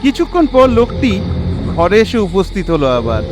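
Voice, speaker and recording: A man narrating a scripted horror story in an expressive, suspenseful, dramatic way. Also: sits high, moderate pace, background noise.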